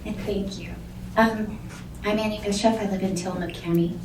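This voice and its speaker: A soft-spoken woman who runs a short-term rental, giving flustered public comment with many fillers at a county meeting. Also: medium pitch, fairly even intonation, normal speed. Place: county board meeting room. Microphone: seated, away from the microphone. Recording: distant, echoey room audio with faint noise.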